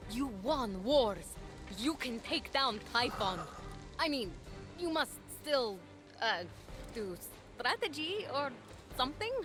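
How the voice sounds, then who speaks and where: A woman's voice: sits high, big pitch swings, slow pace, music in the background, heavily acted. A woman voicing a young mortal warrior hero in a video game, earnest and pleading in manner. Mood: coaxing. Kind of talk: video game cutscene acting.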